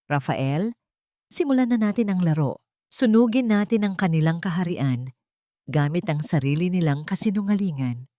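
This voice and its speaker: Woman acting a quiet, cold betrayed wife, lowish voice. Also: lively intonation, moderate pace, no room sound, studio quality, close to an everyday voice. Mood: cold resolve.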